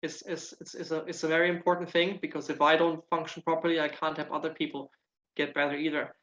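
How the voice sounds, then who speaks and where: A man's voice: on the high side, little pitch movement, fast talker, fairly bright tone. German guy w a bit of irish in his accent, earnest, repeats himself, muffled lo-fi call sound. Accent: German with some Irish. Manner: earnest, repeats words while searching for them. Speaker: guest, nutritional therapist. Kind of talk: casual interview answers.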